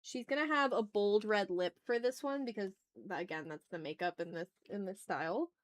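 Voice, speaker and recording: A woman's voice: medium pitch, normal speed. A woman hosting a casual drawing livestream, playfully chatting with viewers and thinking aloud as she works. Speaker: art livestream host.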